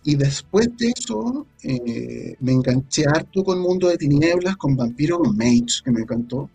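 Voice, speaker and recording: A Chilean man talking casually as an interview guest, halting and thinking aloud as he goes. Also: a little high, lively intonation, gravelly, mellow, slightly rough, no echo. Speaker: guest, audio drama creator. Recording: faint music behind. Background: faint music.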